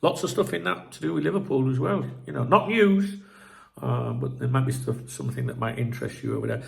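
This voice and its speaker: A man's voice: mid-range voice, brisk, creaky. A man with a blocked nose hosting a casual football commentary video, rambling and frank, swearing freely. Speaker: football channel host.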